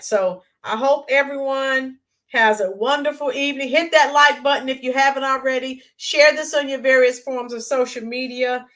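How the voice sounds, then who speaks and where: A woman hosting a live stream, chatting in a rambling way with viewers and greeting them by name, her voice hoarse and worn. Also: fairly high pitch, a bit bright. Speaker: YouTube channel host. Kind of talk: live-stream chat sign-off.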